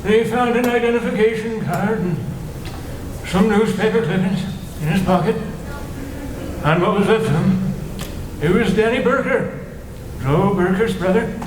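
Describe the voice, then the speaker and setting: A man's voice: sits high, unhurried, pauses often, background noise. A man playing a police officer in a live radio drama, giving a matter-of-fact report. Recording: echoey hall from far off.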